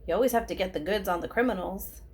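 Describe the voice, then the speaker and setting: A woman's voice: medium pitch, quick pace, a little hiss or hum, natural voice. A woman voicing an earnest, plotting schoolboy amateur detective in a children's storybook.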